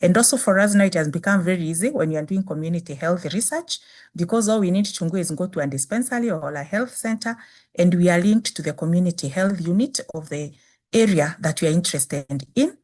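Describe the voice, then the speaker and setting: A woman with a Kenyan accent, a native Swahili speaker, giving a measured webinar presentation on nursing with frequent restarts. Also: fairly low pitch, animated, a little mellow. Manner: measured, repeats phrases with restarts. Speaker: guest speaker, nursing dean.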